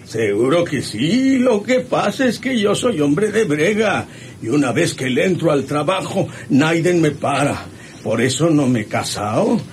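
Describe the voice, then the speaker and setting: Slow drawling old mexican ranch worker, cheeky, radio soap, bit noisy. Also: male voice, a little high, sing-song. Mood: cheeky, teasing. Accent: rural Mexican. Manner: folksy, chuckling. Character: cheeky, hardy, aging rural ranch hand. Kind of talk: radio drama acting.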